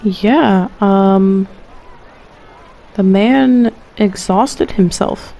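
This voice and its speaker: A woman's voice: mid-range voice, drawn-out words, vocal fry, mellow, poor audio. A woman streaming a game, reacting casually with slangy, playful and dramatic asides.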